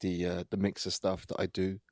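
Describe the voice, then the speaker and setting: A man explaining his own work casually as a writer, hesitant and pausing often. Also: sits low, monotone, a little mellow, no room sound, clean studio sound. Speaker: writer and podcaster, featured artist.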